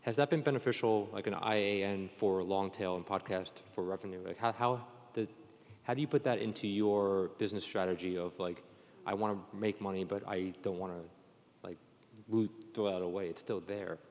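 In a reverberant hall, a man with a deep voice moderates a discussion. He speaks off the cuff, circling through his questions and rephrasing them as he goes. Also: normal speed, a little mellow. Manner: rambling questions, restarted and rephrased. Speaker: panel moderator. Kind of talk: unscripted panel questioning. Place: indoor conference panel hall.

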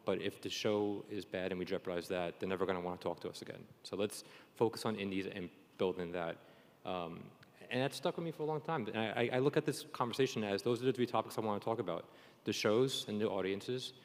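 A man with a lowish voice hosts a live panel in a chatty, joking way. The sound carries the long reverb of a conference stage. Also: fast. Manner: chatty, jokey. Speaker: panel moderator. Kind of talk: informal live panel hosting.

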